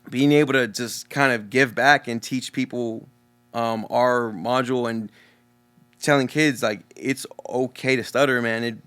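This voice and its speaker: Young man, Texan accent, stutters openly, smooth resonant voice, mid pitch, casual interview. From Texas. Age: young adult. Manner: stutters openly, relaxed and earnest. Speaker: guest DJ.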